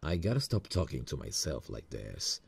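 A man acting a smitten, nervous boss in a romantic ASMR roleplay, speaking intimately and a little hesitantly with a gravelly, husky voice. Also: very deep voice, huge pitch swings, normal speed, darkish tone, full character voice. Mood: nervous, musing. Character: smitten, nervous male boss on a work trip.

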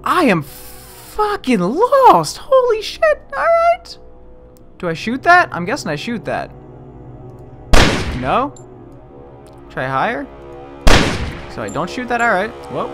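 An excitable man plays a video game and talks through it casually. His bright voice swoops wildly up and down, and he pokes fun at himself as he goes, with music behind him. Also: extremely high pitch, takes it slowly, long pauses. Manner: excitable, self-deprecating. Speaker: video game let's player. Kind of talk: casual gameplay commentary.

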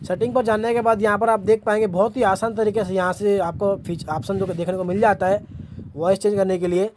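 A man's voice: sits high, fairly even intonation, fairly quick, darkish tone, a little hiss or hum. A man with an Indian accent narrating a how-to tutorial as the host, explaining steps to viewers. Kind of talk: screen-recorded how-to narration.

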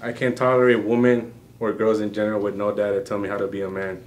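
A man's voice: lowish voice, level tone, quick pace, slight background noise. Teasing man in his twenties. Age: 20s. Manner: teasing, joking. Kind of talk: casual group chat. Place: living room couch, indoors.

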